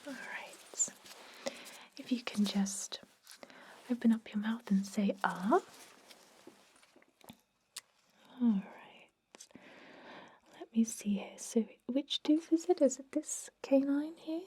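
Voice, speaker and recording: A woman playing a chatty but gently stern vampire dentist in a whispered ASMR roleplay, rambling in a confiding way with a breathy voice. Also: on the high side, slow, pauses often, a little hiss or hum. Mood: attentive, businesslike. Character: chatty long-lived female vampire dentist, garlic-obsessed, gently stern. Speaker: ASMR performer.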